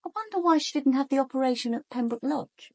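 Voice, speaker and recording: An actress playing a sharp-minded British police detective in a radio drama, with controlled, deliberate and pointed delivery. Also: female voice, high-pitched, expressive. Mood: pointed, probing. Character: sharp-minded, ambitious female police detective questioning suspects. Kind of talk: radio drama acting with first-person narration.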